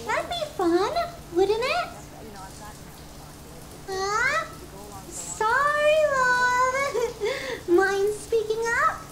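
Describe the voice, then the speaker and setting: A woman's voice: very high voice, lively intonation, very slow, pauses often, bright, forceful tone, heavily acted. A woman playing a possessive, sadistic yandere girlfriend in an ASMR roleplay, doting and cutesy with theatrical affection. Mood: playful, possessive. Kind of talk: ASMR roleplay, scripted monologue to the listener.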